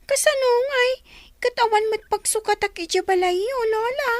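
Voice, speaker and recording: A woman's voice: very high voice, normal speed, dry recording, clean studio sound. A woman with an Ilocano accent playing an anxious young child in a radio drama. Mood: anxious, pleading. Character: anxious young grandchild clinging to absent mother.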